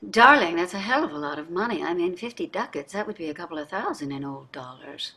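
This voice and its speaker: An actress playing a privileged, jaded middle-aged wife in a staged play reading, speaking in a theatrical, effusive way. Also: female voice, lowish voice, lively intonation, fast talker, pushed and bright, husky, full character voice. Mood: skeptical. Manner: theatrical, effusive, drawling endearments. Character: wealthy, jaded, privileged wife on a dystopian luxury ship.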